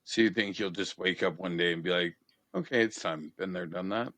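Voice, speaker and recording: A man's voice: low voice, a little fast, fairly bright tone, no echo. A man in his forties hosting a casual interview podcast, asking blunt questions and swearing freely. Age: 40s.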